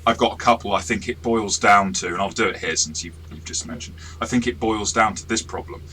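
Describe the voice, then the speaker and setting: Man with a low, bright voice, game master talking through a module, chatty and self-deprecating, faint background hiss. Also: a little fast. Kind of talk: casual review chat.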